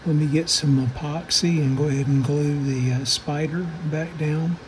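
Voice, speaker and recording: A man giving casual, unscripted hands-on repair narration in a halting manner, often pausing mid-phrase. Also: on the high side, fairly even intonation, darkish tone, a little hiss or hum, poor audio. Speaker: radio restoration hobbyist.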